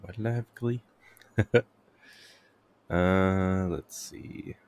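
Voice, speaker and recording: A man's voice: low voice, drawn-out words, a little mellow, smooth. A man giving casual gameplay commentary as a streamer, muttering and thinking aloud. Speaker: game streamer.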